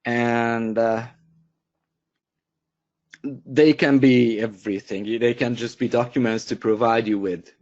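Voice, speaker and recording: A man whose first language is Hungarian presents a software webinar, pausing often with fillers and restarting sentences. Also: mid-range voice, fairly even intonation, lo-fi sound. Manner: hesitant, many fillers and restarts.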